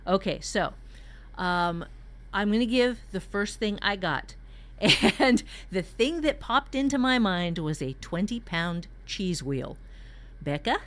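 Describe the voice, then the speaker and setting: A woman's voice: medium pitch, expressive, slow, fairly bright tone. A woman teaching a writing workshop in a conversational way, candid and joking as she explains. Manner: candid, jokey, mildly profane.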